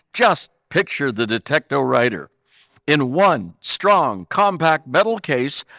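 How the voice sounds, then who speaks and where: A man's voice: sits high, sharp tone, dry recording, exaggerated voice. A man playing a gee-whiz announcer in 1940s children's radio style, selling to kids with emphatic enthusiasm. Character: gee-whiz male radio pitchman hyping toys to kids.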